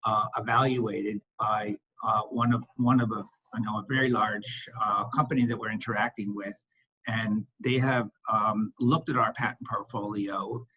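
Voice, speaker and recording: A husky man speaking as a company executive, answering questions on an investor call in a halting way, repeating words for emphasis. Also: on the low side, steady tone, bright, forceful tone. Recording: dull, lo-fi conference call audio.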